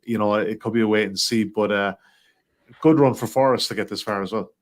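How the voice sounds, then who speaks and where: A man with a fairly low voice hosts a football betting podcast. He reads out prices briskly and keeps the chat moving, tossing questions to his guests. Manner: brisk, conversational, steers the talk to guests. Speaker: podcast host. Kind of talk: casual podcast hosting, reading out odds.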